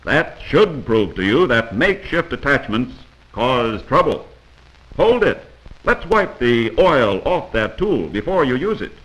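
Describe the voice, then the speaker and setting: A man's voice: mid-range voice, big pitch swings, unhurried, fairly bright tone, rough recording. An American man narrating a scripted 1940s instructional film in a didactic, admonishing manner, heard on an old film soundtrack.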